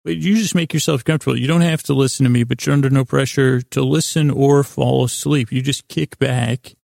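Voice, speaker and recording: A man with a creaky voice hosting a bedtime podcast, improvising a halting, meandering, self-deprecating monologue that slips into imagined dialogue. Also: medium pitch, steady tone, darkish tone, dry recording, clean studio sound. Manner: acts out imagined back-and-forth dialogue in his own voice. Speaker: podcast host.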